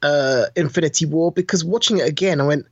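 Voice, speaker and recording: A man with a fairly high, husky voice talks casually as a podcast co-host. He tells things as anecdotes, and the sound is dry and a little dull. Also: brisk, no room sound. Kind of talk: casual film chat.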